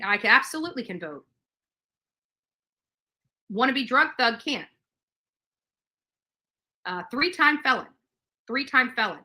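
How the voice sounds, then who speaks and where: A woman's voice: fairly high pitch, bright, forceful tone. A woman livestreamer delivering a combative, emphatic rant to her viewers, repeating phrases for stress. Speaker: livestream host.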